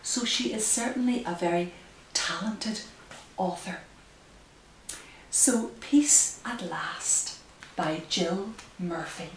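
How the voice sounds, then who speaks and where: A woman leads a children's story time at a slow, careful pace, pausing between short phrases. Her voice has an ordinary pitch and sounds roomy and a little distant, over faint hiss. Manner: deliberate, careful phrasing with pauses. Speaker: community librarian, storyteller. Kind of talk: read-aloud story time for young children.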